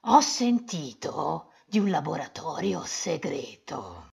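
A woman's voice: low voice, big pitch swings, slow pace, vocal fry, darkish tone, breathy edge, exaggerated voice. A woman voicing an eager, servile bird henchman with a squawky, fawning manner in a children's cartoon. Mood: excited, scheming.

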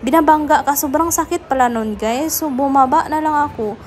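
A woman's voice: high-pitched, normal speed, noisy background. A Filipino woman narrating a casual vlog, chattily addressing her viewers. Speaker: vlogger.

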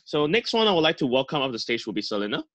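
Man, video call host, upbeat emcee, mid-pitched, dry sound. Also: very animated, rapid-fire, fairly bright tone, no room sound, dull audio. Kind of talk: online meeting hosting.

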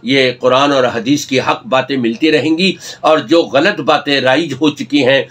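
A man's voice: mid-range voice, normal speed, fairly bright tone. An older man, an Islamic scholar, talks earnestly to the camera, admonishing and gesturing as he speaks from a study lined with books. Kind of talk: religious talk to camera.

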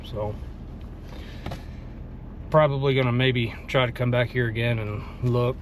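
A man's voice: medium pitch, a little hiss or hum. Laid-back Texan man vlogging a hunt. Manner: relaxed, plain-spoken, drawn-out fillers. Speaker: bowhunter filming himself. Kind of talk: casual self-filmed hunting vlog. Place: pickup truck cab and outdoors on a farm. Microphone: phone camera at arm's length.

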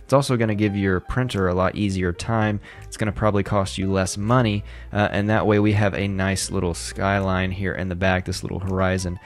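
A man in his thirties hosting a design tutorial, talking in a relaxed, chatty way with fillers and light laughs into a close studio mic. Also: low voice, a little fast, hardly any pauses, faint music behind. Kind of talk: casual screen-recorded tutorial. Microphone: studio microphone close up.

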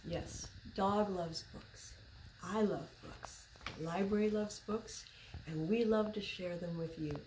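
A woman in her sixties reading a picture book aloud to children, expressive and deliberate, as a library storyteller. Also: mid-range voice. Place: small public library room, indoors. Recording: roomy echo, faint background noise.

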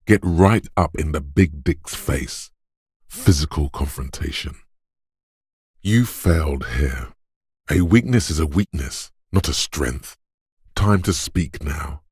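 An actor voicing the gruff, macho inner voice of brute physical strength in a video game, gravelly, deliberate and commanding. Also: male voice, rumbling low, a little mellow, no room sound, clean studio sound, full character voice. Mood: gruff, goading. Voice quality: gravelly, husky. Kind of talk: dramatic game narration.

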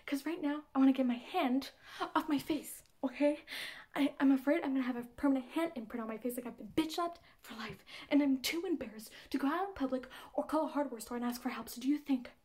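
A woman's voice: sits high, fast, a little mellow, close to an everyday voice. A woman in her twenties playing a frantic, exasperated young woman rambling to a friend by phone in a comedy monologue. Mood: desperate pleading. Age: 20s. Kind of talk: comedy monologue audition acting.

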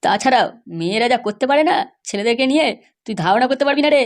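A woman's voice: a little high, lively intonation, fast, close to an everyday voice. A woman voicing a crude, loyal male henchman in a comedy cartoon, gossipy and reassuring. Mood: gossipy, dismissive.

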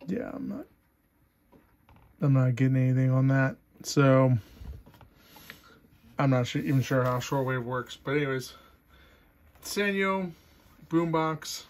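A man's voice: mid-range voice, fairly even intonation, takes it slowly, pauses often. A man casually showing off an item for sale at a table indoors, loose and chatty, trailing off and restarting his sentences, recorded on a phone camera. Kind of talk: casual product demo. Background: radio and tape music playing.

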